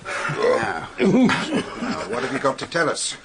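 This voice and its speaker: A British police superintendent in a radio mystery. The voice is medium in pitch and quite expressive in its rise and fall. He is brisk, formal and sceptical, with faint noise behind him. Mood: brisk, inquiring. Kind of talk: radio drama acting.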